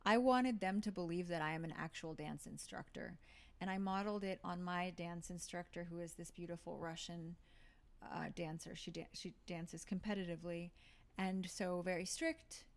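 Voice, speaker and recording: A woman actor chats through a relaxed interview in a slightly low voice. She thinks out loud, circling back with fillers and restarts as she goes. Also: moderate pace. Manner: thoughtful, with frequent fillers and restarts. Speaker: actor and guest. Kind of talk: casual interview talk.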